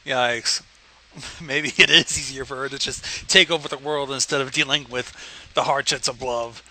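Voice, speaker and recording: A man chats as co-host with a medium-pitched, strikingly bright and forceful voice. He speaks casually, in short joking asides about a story. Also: steady tone, moderate pace, low-quality recording.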